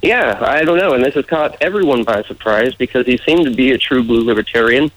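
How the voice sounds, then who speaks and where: A man's voice: medium pitch, lively intonation, brisk, dry recording, low-quality recording. A man from the United States with a muddled American accent, talking casually and earnestly as a candidate being interviewed. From United States, raised in various regions.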